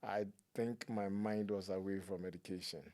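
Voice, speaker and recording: A man, telling his life story as a guest in a relaxed, laughing way. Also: low voice, normal speed. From Ghana. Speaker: guest, clinician. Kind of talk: storytelling interview talk.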